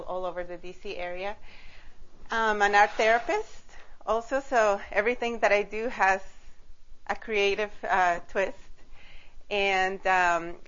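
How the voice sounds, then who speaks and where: A woman's voice: mid-range voice, fairly bright tone. A woman from Costa Rica giving an informal workshop talk, conversational and drawing listeners in with questions. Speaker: workshop leader, child psychotherapist and art therapist. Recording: dull lo-fi live room recording.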